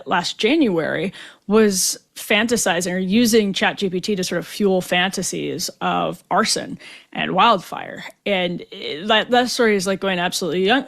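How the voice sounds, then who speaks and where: A woman's voice: mid-range voice, vocal fry. Rambling woman podcast host. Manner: rambling, thinks aloud with fillers. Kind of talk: conversational interview questions.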